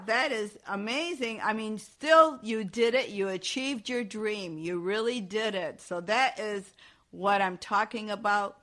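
A woman hosting an interview, encouraging her guest with warm affirmations. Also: medium pitch. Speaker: podcast host. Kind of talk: casual interview.

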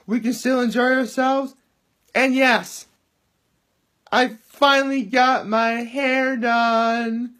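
Upbeat high-voiced man with a loud voice. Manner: chatty, upbeat, rambling with many asides. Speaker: vlogger. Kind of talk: casual daily video diary. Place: living room, indoors. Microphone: phone camera held at arm's length.

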